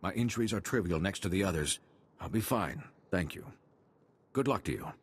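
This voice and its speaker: An actor playing a courteous, loyal and stoic nobleman in a video game, with a husky voice and formal, gracious manner. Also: sits low, animated, a little fast, a little hiss or hum. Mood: resolute, stoic. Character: courteous, loyal male minor nobleman, wounded but stoic.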